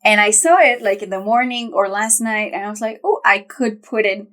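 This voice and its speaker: A woman's voice: mid-range voice, moderate pace, a bit bright. A young woman co-hosting a podcast, chatting casually and thinking aloud, with lots of fillers and restarts. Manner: thoughtful, rambling with many fillers and restarts. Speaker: podcast co-host. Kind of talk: casual chat.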